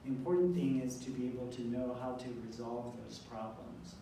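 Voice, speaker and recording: A man's voice: medium pitch, fairly even intonation, normal speed. A man working as an interpreter, relaying someone's speech aloud at a public event. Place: indoor event room, heard from far off. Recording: distant mic, very echoey room, faint noise.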